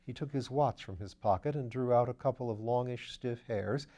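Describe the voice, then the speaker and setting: A man reading a classic mystery novel aloud in calm, even narration. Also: medium pitch, moderate pace. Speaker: audiobook reader. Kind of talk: scripted narration.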